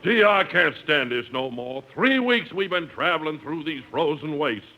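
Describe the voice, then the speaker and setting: A man's voice: a little high, animated, normal speed, close to an everyday voice. A man playing a cocksure, grumbling prospector sidekick in a 1950s radio comedy, broad and complaining. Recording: muffled old radio recording with hiss.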